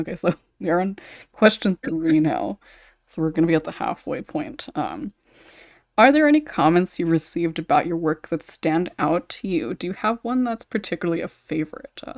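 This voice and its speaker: A woman moderates a casual podcast conversation. Her pitch sits a little low for a woman, and the sound is dry, with no room around it. She speaks informally, as a host among fellow creators. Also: animated, fairly quick, dry recording.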